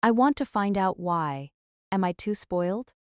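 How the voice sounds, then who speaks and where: A woman's voice: medium pitch, lively intonation, a little slow, clear, resonant tone, no echo, clean studio sound, close to an everyday voice. A woman playing a dutiful, earnest young female city police officer in an audio drama. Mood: troubled.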